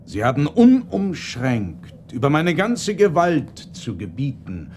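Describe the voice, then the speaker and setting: Grand secretive magician for radio drama, slow and ceremonious, big swings in pitch, bit of noise. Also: male voice, huge pitch swings, a little mellow, exaggerated voice. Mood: solemn, mysterious. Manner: ceremonious, measured, formal address. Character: mysterious solemn male magician, grand and secretive. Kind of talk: German radio play acting.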